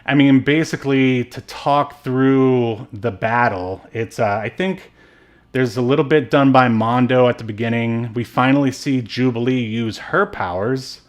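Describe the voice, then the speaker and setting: A man's voice: medium pitch, a little slow. A man co-hosting a podcast, talking casually and wryly as he reviews a movie. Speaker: podcast co-host.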